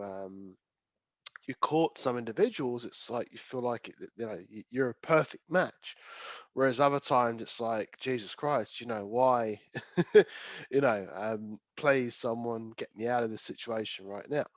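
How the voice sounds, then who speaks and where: Croaky-voiced man presenting a sales webinar. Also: mid-range voice, dry recording. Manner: rambling, filler-heavy, self-correcting delivery. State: croaky voice from a chest infection. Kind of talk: casual presentation to an online audience.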